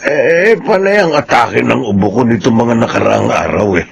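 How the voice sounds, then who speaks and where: Gravelly ailing old father, noisy recording. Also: medium pitch, animated, normal speed. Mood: casual. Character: sickly elderly father, needy and stubborn, poor household. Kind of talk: radio drama acting. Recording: noticeable background noise.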